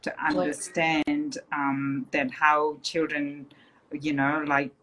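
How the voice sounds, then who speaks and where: A woman with a Nepali accent hosts the chat over a video call, speaking at a slow, unhurried pace. Her voice sits very low for a woman, and she often pauses to affirm the guest. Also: little pitch movement, bright, forceful tone, a little hiss or hum, poor audio. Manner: unhurried, many ums, affirming. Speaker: host. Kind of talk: casual livestream interview.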